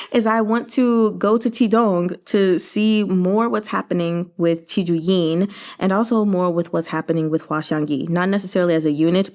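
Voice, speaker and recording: A woman hosting a read-along, giving casual, conversational commentary on a novel with animated, emphatic asides. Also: medium pitch. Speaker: host of a book read-along channel.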